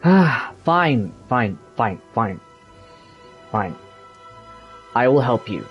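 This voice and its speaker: A man reading video game dialogue aloud in a halting, deliberate, amateur way. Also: medium pitch, sing-song, slightly rough, highs cut off, soft background music.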